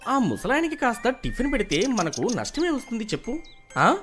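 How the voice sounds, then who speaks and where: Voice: medium pitch, huge pitch swings, fairly quick, a bit bright, soft background music. A narrator voicing a kind but firm husband who runs a food stall in a cartoon story, speaking earnestly. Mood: annoyed. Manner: earnest, helpful, scolding his wife.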